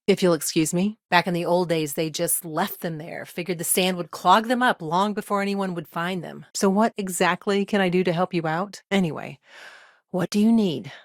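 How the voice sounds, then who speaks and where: Low-voiced, earnest frontier lawyer woman. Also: animated, moderate pace, no echo, studio quality. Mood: sincere, measured. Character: earnest, overworked female frontier lawyer, dry-witted and principled. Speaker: video game character voice. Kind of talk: naturalistic video game dialogue acting.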